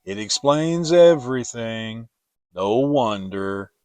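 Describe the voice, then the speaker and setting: A man voicing a gloomy old gray donkey in a children's storybook, mournful and resigned, with a slow sighing delivery. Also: mid-range voice, expressive, a little mellow, dry recording. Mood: resigned gloom. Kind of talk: dramatic children's audiobook reading.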